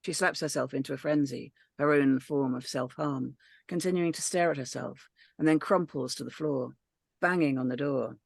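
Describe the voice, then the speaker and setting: A woman reading stage directions in a script read-through, steady and matter-of-fact. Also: very deep voice, moderate pace, gravelly, dry recording, clean studio sound.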